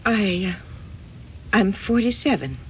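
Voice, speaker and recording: Meek forties office secretary, hesitant n polite, bit of creak, muffled old radio drama. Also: female voice, medium pitch, expressive, takes it slowly, slight background noise. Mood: timid, deferential. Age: 40s. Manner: deferential, hesitant. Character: meek, dutiful middle-aged secretary, newly married.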